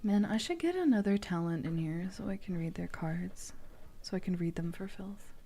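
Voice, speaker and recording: A woman streamer speaking softly in a playful ASMR livestream style, often dropping into a whisper. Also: on the low side, animated, normal speed. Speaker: streamer reading tarot.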